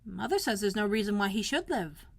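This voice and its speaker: A woman's voice: medium pitch, sing-song, fairly quick, gravelly. A woman voicing an anxious, plain-spoken young housemaid, fretful and gossipy, in a children's storybook. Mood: frank.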